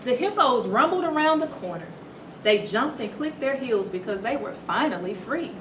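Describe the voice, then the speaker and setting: A woman reading a children's story aloud to schoolchildren in a teacherly, animated way, stopping to put questions to them. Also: on the high side, normal speed. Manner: teacherly, asks the listeners questions. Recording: roomy echo, distant mic, noisy background.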